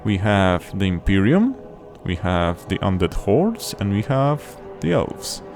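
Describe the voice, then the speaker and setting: Young man hosting a game playthrough, lowish voice, loose chatty delivery, game music underneath. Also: very animated, slow pace, a little mellow. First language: Polish. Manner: chatty, restarts phrases while explaining. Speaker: gaming channel host. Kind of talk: casual gameplay commentary.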